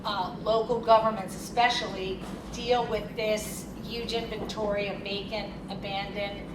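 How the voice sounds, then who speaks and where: A woman with a Philadelphia accent giving a lively, conversational keynote talk at a conference. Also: mid-range voice, a little slow. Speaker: keynote speaker. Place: conference hall. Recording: echoey hall, distant mic, noisy.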